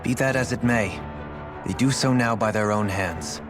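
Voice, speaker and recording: An actor playing a dignified, weary lord in a fantasy video game, speaking formally and eloquently in measured phrases. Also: male voice, lowish voice, natural voice. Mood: resolute, somber. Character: dignified, weary male lord of a fantasy realm, resolute and courteous. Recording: game dialogue under loud music.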